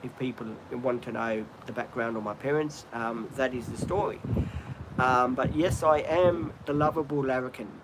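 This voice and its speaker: Australian man, middle-aged, rambling live-stream host, outdoors with steady background noise. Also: mid-range voice, normal speed. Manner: chatty, rambling, frequent ums and restarts. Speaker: livestream host. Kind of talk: casual live-stream chat. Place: outdoors, birds in trees nearby.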